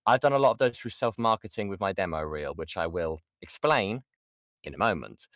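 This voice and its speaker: A man's voice: sits low, expressive, fairly quick, fairly bright tone, dry recording, clean studio sound. A British man, a voice actor, giving an informal online workshop in a chatty way, breaking off and repeating key points for emphasis. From United Kingdom. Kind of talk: informal online lesson with audience questions. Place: indoors, online voice chat.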